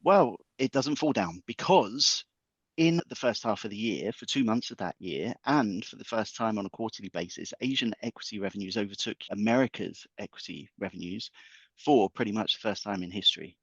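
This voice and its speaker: Male guest, lowish voice, joking football banter, dull dry line. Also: fairly quick, breathy edge, no room sound. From England. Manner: playful, heavy on football metaphors. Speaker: guest market analyst. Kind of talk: podcast chat.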